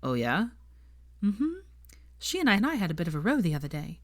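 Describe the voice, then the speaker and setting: A woman's voice: fairly low pitch, very animated, a little fast. A woman reading the part of an earnest, prim young woman who speaks articulately. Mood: chatty.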